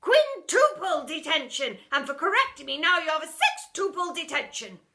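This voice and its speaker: A woman voicing a stern, cruel schoolmistress in a storybook, with imperious, drawn-out, emphatic pronouncements. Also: very high voice, very animated, bright, forceful tone, pitched above the performer's own voice, exaggerated voice. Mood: relentlessly punitive. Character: stern, cruel, shrieking schoolmistress who relishes punishing children. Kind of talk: storybook character voice in read-aloud.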